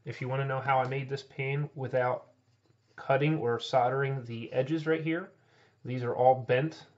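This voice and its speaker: A man's voice: medium pitch, steady tone, normal speed, highs cut off. A man who is an HVAC technician and channel host, plainly explaining equipment as he points things out during a hands-on walkthrough, filmed point-of-view on a camera-mounted mic. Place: indoor utility closet, later outdoors by a heat pump. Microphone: camera-mounted mic, speaker filming himself point-of-view.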